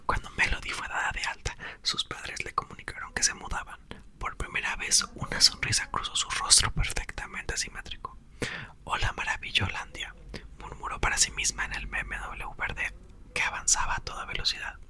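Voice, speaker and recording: A young man softly whispering a book aloud for ASMR, with playful chatty asides. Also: moderate pace. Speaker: ASMR host reading aloud.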